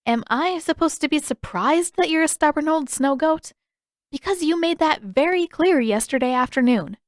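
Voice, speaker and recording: A woman's voice: sits high, animated, moderate pace, no room sound, clean studio sound, voice pushed up. A woman voicing an outspoken teenage girl hero in a storybook, with a blunt, questioning manner. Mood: indignant.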